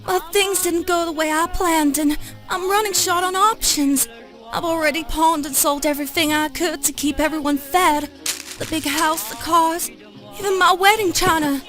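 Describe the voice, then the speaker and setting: Woman acting very high-pitched desperate lady. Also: steady tone, moderate pace, fairly bright tone. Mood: regretful. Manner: pleading, earnest. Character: desperate, proud lady struggling to keep everyone fed. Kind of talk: audio drama dialogue. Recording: music under the voice.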